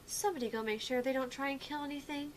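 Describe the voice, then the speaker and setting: A woman's voice: high-pitched, steady tone, fast talker, natural voice. A woman voicing a young student council vice president in a visual novel, bossy yet apologetic and a little hesitant. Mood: exasperated, kindly. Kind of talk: acted visual novel dialogue. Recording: clear background noise.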